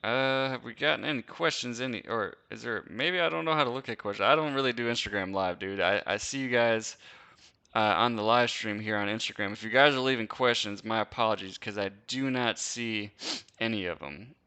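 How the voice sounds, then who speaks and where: A young man from Wisconsin hosts a casual live-stream Q&A, his voice bright and crisp and pitched a little low. He talks loosely and slangily, tossing in "dude" and "bro" and laughing often. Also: a little fast. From Wisconsin, USA. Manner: laid-back slangy chat, lots of "dude" and "bro", frequent laughs. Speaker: host.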